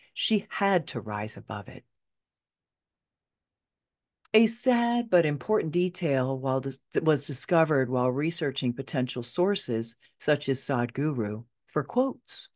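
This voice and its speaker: A middle-aged woman narrating her own memoir as an audiobook, expressive and conversational, with wry asides. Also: very deep voice. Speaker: author reading own book.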